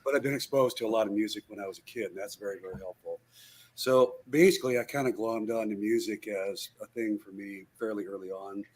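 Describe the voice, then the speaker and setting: This is an older man in his sixties with a low-pitched, husky voice. He rambles through his memories with plenty of fillers and restarts. He is a guest on a casual interview held over a video call. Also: fairly even intonation, brisk, a little mellow. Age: 60s. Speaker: guitarist guest.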